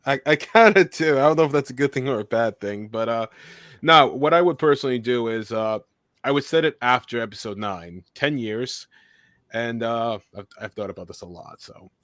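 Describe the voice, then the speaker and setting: A man speaking as a guest in an interview, answering at length in a steady monotone voice. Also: medium pitch, moderate pace, no echo, studio quality. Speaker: guest filmmaker and commentator.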